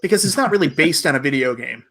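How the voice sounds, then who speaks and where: A man's voice: fairly high pitch, expressive, quick pace, sharp tone. A man co-hosting a casual panel chat, opinionated, with a wry joking manner. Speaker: podcast co-host.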